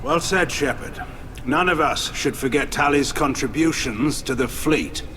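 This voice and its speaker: An actor playing a sympathetic, loyal alien military admiral in a video game, earnest and grave. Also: male voice, a little high, unhurried, a bit bright, slight background noise. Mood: approving.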